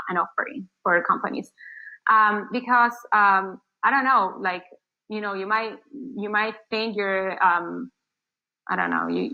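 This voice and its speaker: A woman's voice: medium pitch, muffled recording. A woman from Argentina, not a native English speaker, giving casual interview answers as an agency founder, rambling with many fillers and restarts. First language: Spanish.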